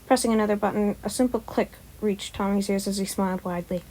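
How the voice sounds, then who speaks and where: A woman reads a story aloud as an amateur narrator. Her voice sits at an ordinary pitch, and she delivers the prose expressively, like someone sharing fan fiction. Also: fairly even intonation, fast talker, dark tone, low-quality recording.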